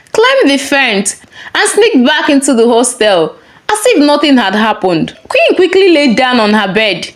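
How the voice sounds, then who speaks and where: A woman narrating a scripted story as a storyteller. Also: fairly high pitch. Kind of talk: scripted narration of a folktale-style moral story.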